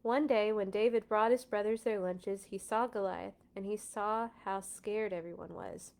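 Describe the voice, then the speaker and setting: A woman hosting a children's read-aloud, expressive, with dramatic playful delivery and casual asides. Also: medium pitch, moderate pace. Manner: dramatic, playful sound effects.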